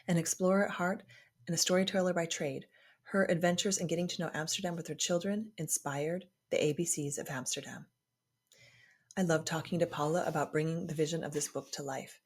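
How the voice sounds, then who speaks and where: A woman podcast host with a fairly low voice and a husky edge. She speaks as a presenter and interviewer, introducing guests and their stories. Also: darkish tone.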